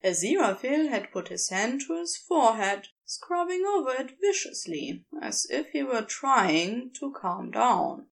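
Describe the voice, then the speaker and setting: A woman reads fiction as an audiobook narrator. Her voice sits a little high, with noticeable fry. She takes her time and varies her pitch expressively. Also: sing-song, unhurried, hardly any pauses.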